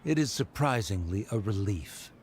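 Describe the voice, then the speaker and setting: An actor playing a haughty, disdainful scholar in a fantasy game, formal and condescending. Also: male voice, lowish voice, expressive, normal speed, darkish tone, slight background noise, close to an everyday voice.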